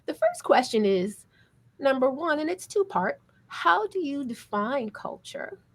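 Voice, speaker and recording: A woman's voice: high-pitched, big pitch swings, measured pace. A woman moderating a panel discussion in an upbeat, encouraging manner.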